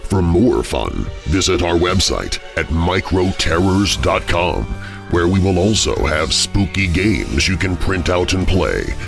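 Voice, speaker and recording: A male storyteller delivers spooky tales for children with theatrical suspense. His pitch swings expressively from line to line, and music plays behind him. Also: big pitch swings, unhurried, creaky. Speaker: narrator. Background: music bed.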